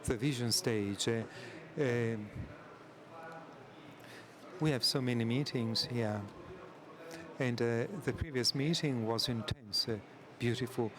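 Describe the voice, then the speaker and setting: A man interprets a conference panel live, in a voice of ordinary pitch. His delivery is halting and full of filler sounds as he keeps up with the speakers. A crowd is clearly audible in the background. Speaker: simultaneous interpreter.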